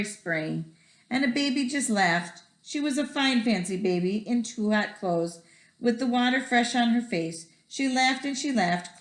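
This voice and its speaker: A woman reading a children's picture book aloud expressively, with a rhythmic delivery that draws out repeated words. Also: on the high side. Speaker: read-aloud storyteller. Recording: echoey room.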